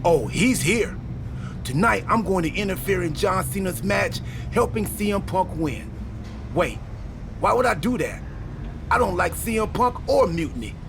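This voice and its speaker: A man playing an excitable, goofy, scatterbrained pro wrestler in a video game cutscene, earnestly delivering absurd ideas. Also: sits high, measured pace, creaky, music in the background, heavily acted. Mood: excited.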